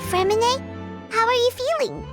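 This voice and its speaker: A woman's voice: very high-pitched, normal speed. A woman voicing a small, chirpy, curious and caring childlike fairy companion in a video game. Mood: concerned, curious. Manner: refers to self in third person, exclaiming. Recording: music behind.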